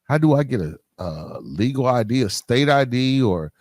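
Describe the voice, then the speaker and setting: A man's voice: medium pitch, sing-song, normal speed, a little mellow, no room sound, clean studio sound. An American man hosting a solo podcast in a conversational, emphatic way, using street slang and often checking in with the listener. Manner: colloquial street-inflected slang. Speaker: podcast host.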